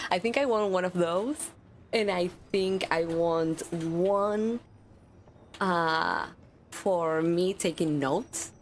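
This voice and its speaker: A woman's voice: lowish voice, drawn-out words, a little hiss or hum. A woman streamer with a stuffy nose, chatting casually with viewers while playing a game. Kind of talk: live gaming stream chat.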